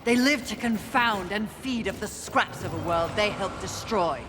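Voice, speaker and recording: A woman's voice: mid-range voice, expressive, moderate pace, background noise, lightly acted. An actress playing a haughty, scornful female warrior of the apocalypse in a video game cutscene, imperious and dry. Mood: confident.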